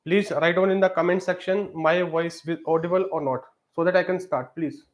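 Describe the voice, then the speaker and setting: High-voiced man teaching a live stream. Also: steady tone, brisk. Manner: repeats pleas for comments, many fillers. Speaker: exam prep tutor.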